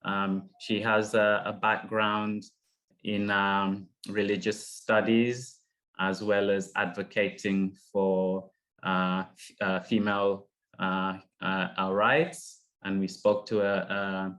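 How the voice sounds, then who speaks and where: A middle-aged man from Uganda moderating an online panel, speaking haltingly with many fillers and restarts over a video call. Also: fairly low pitch, level tone, fairly bright tone. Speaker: session moderator.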